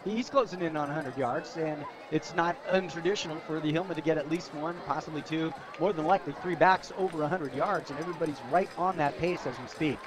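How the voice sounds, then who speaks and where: A fairly high-voiced American man adds color commentary to a football broadcast. He talks in a chatty, folksy, conversational way. The noise of a big outdoor crowd is clearly audible behind him. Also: brisk, few pauses. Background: stadium crowd.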